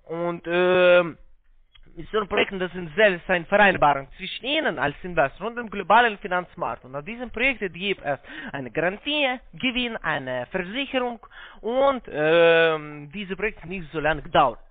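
A non-native speaker, a man with a foreign accent and halting, broken grammar, giving a phone sales pitch. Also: lively intonation. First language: not German. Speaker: call-centre investment salesman. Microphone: telephone. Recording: muffled band-limited phone line.

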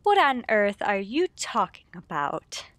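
A woman's voice: medium pitch, big pitch swings, unhurried, a bit bright, dry recording. A woman playing a secretive, sweetly domestic suburban wife in a radio play. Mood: defensive.

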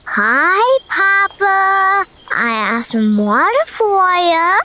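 Young child played by an actor, anxious audio drama role, piping high and very slow. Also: sing-song, pushed and bright, slight background noise, heavily acted. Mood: cheerful. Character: anxious young child searching for a missing father.

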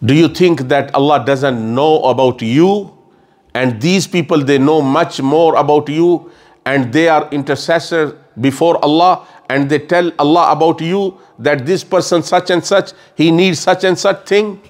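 A man's voice: on the high side, a little slow. Man, middle-aged, religious scholar, earnest sermon, repeats phrases, stand mic, mosque room. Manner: explains earnestly, repeats phrases, gestures with hands. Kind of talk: sermon lecture with Quran recitation. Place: mosque lecture room, indoors. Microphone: stand mic on table.

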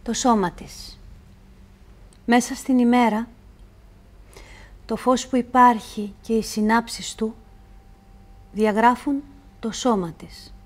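Woman, slightly high pitch, poetry recitation, measured phrasing, deliberate pauses. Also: steady tone, darkish tone. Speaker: poetry reader.

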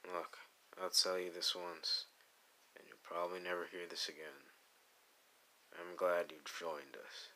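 A man playing a brooding, menacing young anime villain in an ASMR audio roleplay, deadpan and irritable with a grudging fondness. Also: low-pitched, full character voice, poor audio. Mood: gruff, grudgingly fond. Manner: deadpan, slow, irritable, teasing threats.

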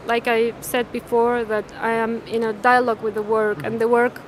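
A woman, talking haltingly and thoughtfully about her artwork, often tagging phrases with "no?". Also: fairly high pitch, flat delivery, slight background noise. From Mexico. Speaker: artist. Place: large indoor art fair hall. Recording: crowd chatter in a busy hall.

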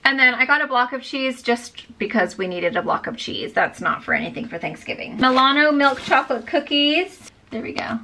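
A woman's voice: high voice, expressive, moderate pace, sharp tone, a little hiss or hum. A woman in her thirties chatting casually through a haul in her home kitchen, upbeat and full of "like" and "um". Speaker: lifestyle vlogger.

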